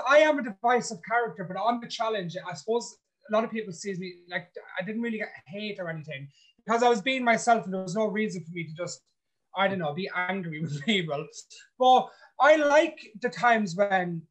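Outspoken man, blunt and animated. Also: sits high, poor audio. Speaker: reality show contestant. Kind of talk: casual interview chat.

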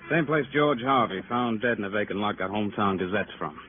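An actor playing a sardonic police detective who needles suspects in clipped, drawling phrases, in 1950s radio crime drama style. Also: male voice, on the low side, animated, brisk, lightly acted. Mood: wry, deliberate. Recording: tinny, noisy old radio recording.

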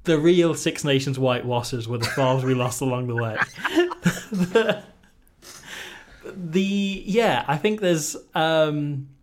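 Welshman with dry gallows humour. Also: medium pitch. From Wales. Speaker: podcast guest. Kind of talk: casual rugby podcast chat.

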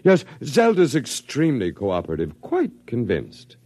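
An actor in a classic radio drama playing a smooth, zealous scientist who speaks in a measured, polite, condescending way. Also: male voice, medium pitch, wildly swooping pitch, darkish tone, no echo. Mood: unruffled, courteous. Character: smooth, courteous, zealous male scientist running an eternal archive.

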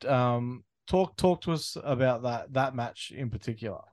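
A man's voice: medium pitch, normal speed, darkish tone. A man interviewing a wrestler, asking hesitant questions full of restarts and "um"s.

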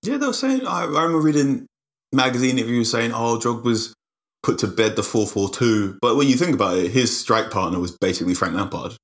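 A man's voice: medium pitch, animated, brisk. A man with a Mancunian accent, a football journalist guest chatting casually and self-deprecatingly. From Manchester area, England.

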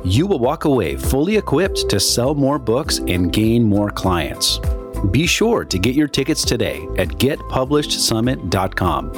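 Man reading a lively ad over music. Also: mid-range voice, unhurried. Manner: inviting promotional read. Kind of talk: read advertisement.

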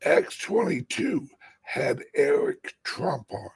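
A man with a raspy voice co-hosting a casual livestream chat, talking slowly and rambling. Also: high-pitched, huge pitch swings. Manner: slow, rambling, drawn-out talk. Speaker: co-host.

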